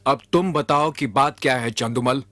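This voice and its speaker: A man playing a calm, shrewd royal adviser in a Hindi cartoon, measured and courteous. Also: mid-range voice, no room sound, natural voice. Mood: inquiring.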